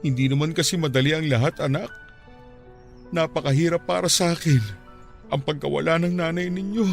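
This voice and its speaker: A man performs a heartbroken father mourning his wife, in a tearful radio-drama voice that cracks with grief. The voice sits high and grainy, with faint music running under it. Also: steady tone, a little fast, creaky. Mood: anguished. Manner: tearful, pleading, voice breaking with grief. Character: grieving widowed father, middle-aged, heartbroken and tender.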